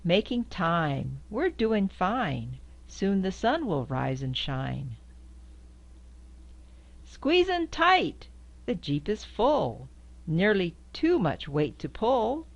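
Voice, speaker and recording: An older woman reading a children's picture book aloud in a sing-song way, recorded indoors on a camera in front of her. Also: vocal fry. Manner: sing-song, squeaks high on the horn sounds. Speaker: storybook reader. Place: indoors before a quilt backdrop.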